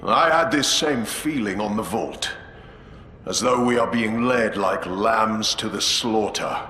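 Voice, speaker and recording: Grave, wary game space marine veteran, slow and formal, forceful edge, echoey. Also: male voice, on the low side, slight background noise. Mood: solemn, wary. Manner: measured, solemn, formal. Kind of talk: dramatic game cutscene acting.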